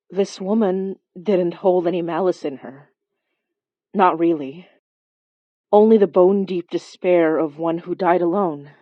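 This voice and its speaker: An actress plays a compassionate woman who investigates the supernatural, telling her own horror story. Her voice sits fairly low and comes through dry, with slightly dulled sound. She is introspective and wryly understated, as in an audio drama. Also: mellow, no room sound, highs cut off, close to an everyday voice. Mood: uneasy, reflective. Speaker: narrator and lead character. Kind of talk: first-person horror audio drama narration.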